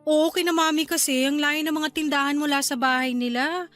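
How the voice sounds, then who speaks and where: A woman's voice: high voice, steady tone, a little fast, fairly bright tone, faint music behind. An actress with a Filipino accent playing a caring, cheerful young wife and new mother in a radio drama. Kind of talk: Tagalog radio drama acting.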